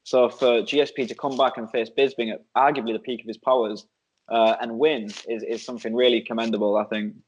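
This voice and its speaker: A young man giving a fluent, measured, opinionated sports analysis as a co-host. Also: medium pitch, little pitch movement. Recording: slightly dull lo-fi audio.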